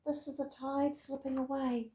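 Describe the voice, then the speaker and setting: A woman reading a rhyming picture book aloud in an expressive, deliberate storytelling manner. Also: high-pitched, reverberant. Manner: dramatic, deliberate storytelling with emphatic words. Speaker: storybook reader.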